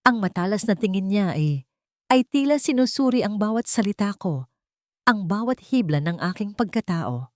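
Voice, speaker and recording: A woman reading scripted first-person dramatic narration in measured, deliberate phrases with dramatic emphasis. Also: mid-range voice, expressive, dry recording, clean studio sound. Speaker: story narrator.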